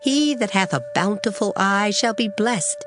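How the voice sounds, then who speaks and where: A woman reading scripture for a dramatized audio Bible with measured, formal delivery. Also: medium pitch. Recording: music clearly under the voice. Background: background music.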